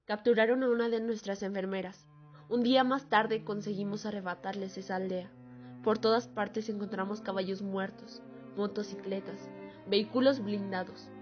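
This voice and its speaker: A woman acts out a solemn female veteran bearing witness in a radio play. Her pitch is average for a woman. Faint music runs behind the slightly dull recording. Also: steady tone, moderate pace, lightly acted. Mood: grim testimony. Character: female war veteran, solemnly testifying to atrocities. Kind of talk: dramatic radio monologue. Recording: muffled lo-fi audio under music.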